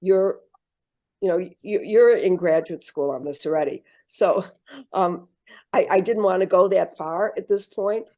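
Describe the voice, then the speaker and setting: A woman leads an online workshop over a video call. Her voice is warm and mellow. She speaks in a measured, encouraging way, pausing on ums and restarting phrases. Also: lowish voice. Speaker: program presenter.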